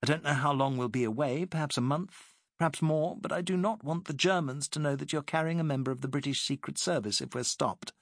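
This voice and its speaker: A man voicing a poised British woman working as a secret agent, speaking crisply and persuasively. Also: fairly high pitch, fairly quick, hardly any pauses, no echo, studio quality, pitched above the performer's own voice.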